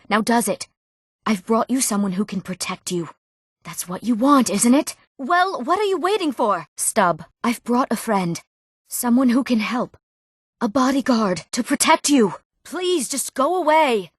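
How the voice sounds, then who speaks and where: A young woman playing an eager, earnest townswoman in a fantasy video game, speaking expressively and clearly. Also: medium pitch, no echo, clean studio sound. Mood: coaxing, matter-of-fact. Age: young adult. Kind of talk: scripted fantasy game dialogue.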